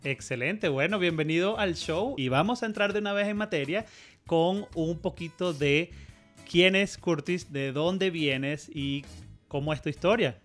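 A man hosting an interview podcast, friendly and engaged, asking questions and reacting quickly. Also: on the high side, a bit bright, faint music behind. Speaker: podcast host.

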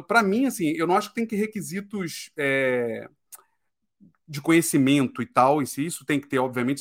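Brazilian male podcast host, emphatic and opinionated, voice sits a bit high. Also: fairly bright tone. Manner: opinionated, emphatic, keeps asking "né?". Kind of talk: casual podcast discussion.

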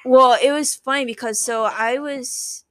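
Young female guest, fairly high voice, informal anecdotal delivery full of "like" and quoted lines, dry sound. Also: steady tone, unhurried, smooth, dry recording. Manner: chatty, peppers speech with "like" and quoted dialogue. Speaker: guest telling her birth story. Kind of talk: casual conversational storytelling.

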